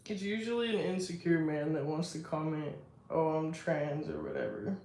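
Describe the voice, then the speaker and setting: A young woman with a deep, strong voice, chatting casually on a live stream in a reflective, self-assured way. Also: fairly even intonation, normal speed, creaky, a little mellow. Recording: echoey room.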